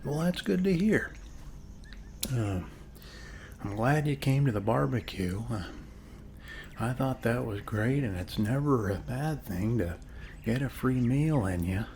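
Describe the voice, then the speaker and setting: Slow, creaky-voiced man in soft ASMR chat. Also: medium pitch, lively intonation, a little hiss or hum. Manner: soft-spoken, friendly, unhurried, pausing often. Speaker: ASMR performer playing a friendly neighbor. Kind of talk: ASMR roleplay, one-sided casual chat over coffee.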